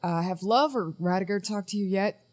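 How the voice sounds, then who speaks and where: An actress playing a guarded woman in charge of a team in a naturalistic audio drama, brisk and firm with hesitant trailing asides. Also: medium pitch, lightly acted. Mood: brisk, dismissive.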